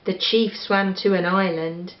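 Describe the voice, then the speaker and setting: A woman with an English accent reading a children's story aloud in an expressive style. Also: fairly low pitch, a little slow. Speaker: storybook reader. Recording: echoey room, slightly dull sound.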